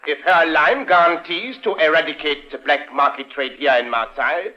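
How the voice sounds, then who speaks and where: A man's voice: fairly high pitch, moderate pace, natural voice. An actor playing a stern male army colonel in a vintage radio drama, formal and authoritative. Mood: stern, official. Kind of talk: 1950s radio drama acting. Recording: dull vintage radio recording.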